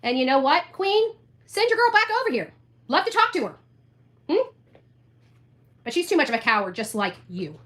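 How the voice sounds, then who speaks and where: A woman's voice: high voice, very animated, moderate pace, long pauses, a bit bright. A woman livestreamer ranting confrontationally, taunting and repeating her phrases for emphasis.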